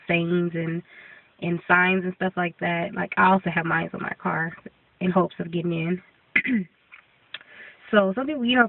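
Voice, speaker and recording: A woman's voice: on the low side, gravelly, far from the mic. A young woman vlogger giving a casual update, congested and coming down with a cold, rambling with many ums and restarts. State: congested, getting sick. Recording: tinny phone-like sound from off-mic.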